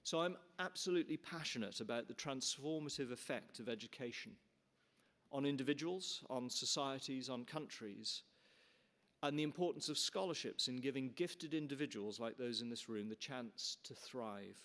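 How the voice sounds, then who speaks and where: A man's voice: medium pitch, normal speed, a bit bright. A middle-aged man from Canada, the chair, giving an earnest formal welcome speech in a conference hall with frequent restarts and fillers.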